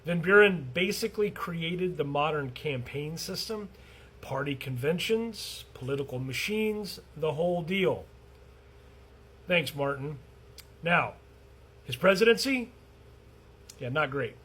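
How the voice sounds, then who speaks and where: A man's voice: on the high side, lively intonation, measured pace, pauses often, a bit bright, husky. A man hosting a casual educational video, explaining history in a chatty, wry way with frequent ums.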